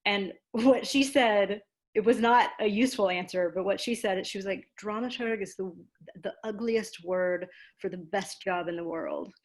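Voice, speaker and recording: An American woman being interviewed, speaking in a casual, animated storytelling way with self-deprecating asides. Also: mid-range voice, normal speed. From Louisiana, United States. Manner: animated, self-deprecating, acts out quoted exchanges. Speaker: interview guest, theater dramaturg and producer.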